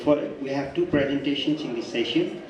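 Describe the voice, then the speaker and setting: A man chairing a conference session, speaking haltingly with many fillers. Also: mid-range voice, muffled recording, noisy background. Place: large echoey conference hall. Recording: echoey hall, distant mic.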